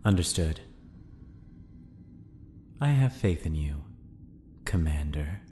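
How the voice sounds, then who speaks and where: A man doing a cold, low-voiced infiltrator. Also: expressive, slow pace, heavily acted. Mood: guarded. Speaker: voice actor in fan audio drama.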